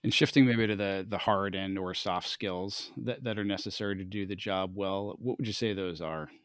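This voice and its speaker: A man's voice: sits low, steady tone, normal speed, dry recording, clean studio sound. A man hosting the interview and asking questions in a conversational way.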